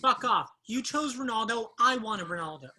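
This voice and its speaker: A woman's voice: fairly high pitch, expressive, moderate pace, pushed and bright, slight background noise. A woman in a play reading playing a foul-mouthed young American student, blunt and sarcastic with a teasing streak. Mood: exasperated. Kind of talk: play reading, naturalistic comic banter.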